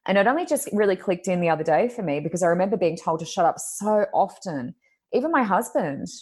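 A woman with a loud voice, a podcast co-host telling a personal story in casual conversation and acting out her own thoughts. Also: lowish voice, slightly rough.

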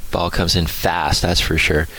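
A man hosting a sports talk radio interview in a conversational, halting way with restarts. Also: low voice, level tone, bright, forceful tone, a little hiss or hum. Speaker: radio show host.

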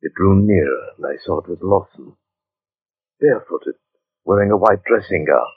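An actor playing an earnest, unsettled gentleman who narrates an eerie tale in measured, dramatic 1940s radio style. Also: deep voice, slightly rough, no room sound. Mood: brooding, hushed. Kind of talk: 1940s radio acting, first-person suspense narration. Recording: muffled, narrow-band 1940s radio recording.

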